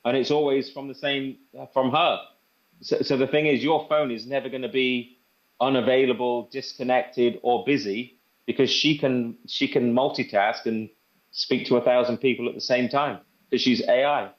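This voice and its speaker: A man giving an informal product demo and sales pitch, conversational and repeating phrases for emphasis. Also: fairly even intonation, moderate pace. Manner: conversational, repeats phrases for emphasis, acts out sample phone calls. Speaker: presenter demonstrating software to a group. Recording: dull, band-limited sound.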